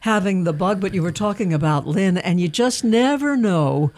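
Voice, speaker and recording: A slightly hoarse man co-hosting a radio fundraiser, making an earnest and encouraging appeal to listeners. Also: high voice, animated, moderate pace, darkish tone. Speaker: radio co-host. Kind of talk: casual on-air fundraiser appeal. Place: radio studio.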